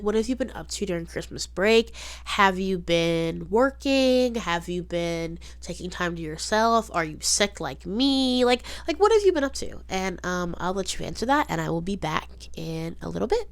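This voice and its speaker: A woman's voice: medium pitch, big pitch swings, moderate pace. A young adult woman hosting a podcast solo, chatting casually and emphatically, often repeating words and saying "like". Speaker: podcast host.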